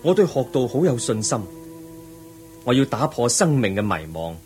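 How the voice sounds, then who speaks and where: A man acting a calm, resolute prince over music. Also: medium pitch, normal speed, close to an everyday voice. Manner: gentle, firm, earnest. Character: calm, resolute young prince leaving to seek enlightenment. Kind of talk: Cantonese radio drama acting.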